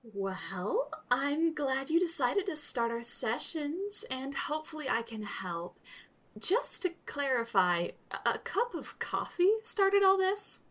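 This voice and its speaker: High, lilting female therapist. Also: big pitch swings, fairly bright tone, full character voice. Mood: polite, probing. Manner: measured, encouraging questioning. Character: polite, probing female therapist in a session. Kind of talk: radio comedy dialogue.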